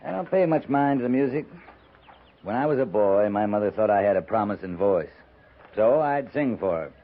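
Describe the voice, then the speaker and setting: Laconic frontier cavalry captain, dry folksy deadpan, muffled old 1950s radio western sound. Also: male voice, lowish voice. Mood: dry, easygoing. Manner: dry, unhurried, folksy understatement.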